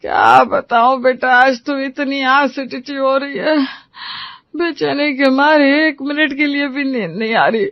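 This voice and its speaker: A woman's voice: high voice, unhurried, dry recording, highs cut off, voice pushed up. A woman voicing an older mother-in-law who is stubborn and scornful, with a whining, grumbling delivery in a story. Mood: suffering, whining.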